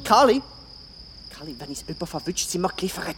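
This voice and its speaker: An actress playing an anxious, excitable medieval peasant boy with a goat, pleading and scolding in Swiss German in a children's audio drama. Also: fairly low pitch, fairly quick. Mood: alarmed. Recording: loud music bed behind voice. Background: music.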